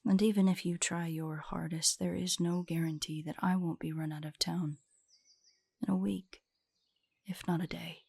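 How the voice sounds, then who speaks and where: A woman playing an ancient, guarded female drider in an intimate audio roleplay, speaking in a formal, measured, haughty way with a husky, breathy voice. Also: lowish voice, fairly even intonation, a little mellow, no echo. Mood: wary, grudging.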